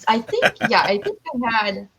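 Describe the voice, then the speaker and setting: A woman's voice: on the high side, very animated, normal speed, creaky, fairly bright tone. A young adult American woman chatting casually as a podcast guest, telling stories in a giggly way. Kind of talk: casual chat.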